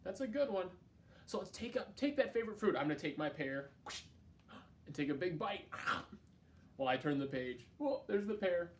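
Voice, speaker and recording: A man's voice: high-pitched, moderate pace. A man hosting a children's storytime, reading a picture book aloud in a playful, exaggerated way and speaking directly to his young listeners. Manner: playful, exaggerated, expressive delivery; addresses young listeners directly with questions and prompts. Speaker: children's storytime host at a library. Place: library.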